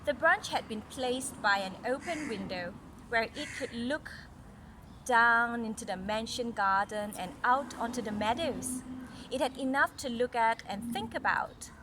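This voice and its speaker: A woman's voice: fairly high pitch, measured pace, background noise. A mother reading a children's story aloud, breaking off for casual explanations and questions to her child.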